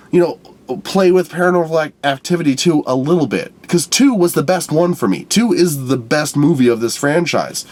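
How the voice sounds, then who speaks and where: Exasperated, stuffed-up young man ranting. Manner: exasperated, profane, repeats himself, swings from loud outbursts to low mutters. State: stuffed-up nose. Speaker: movie review vlogger. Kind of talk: unscripted ranting movie review.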